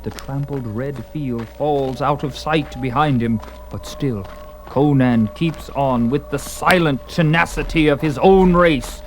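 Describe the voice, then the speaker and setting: Slow, intense male story narrator. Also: medium pitch, rough recording. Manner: intense, theatrical, portentous. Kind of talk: dramatic scripted story narration. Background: prominent music.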